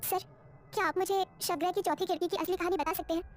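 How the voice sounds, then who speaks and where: A woman voicing a curious, uneasy young female doctor in an animated horror story. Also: extremely high pitch, quick pace, voice pushed up. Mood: anxious questioning.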